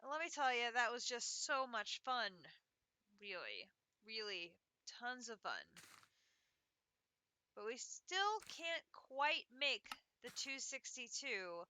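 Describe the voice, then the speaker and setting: A woman's voice: a little high, very animated, slow, bright, forceful tone, clean tone, no echo. A congested woman streamer casually walking viewers through her game progress. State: congested from allergies. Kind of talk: casual live game commentary.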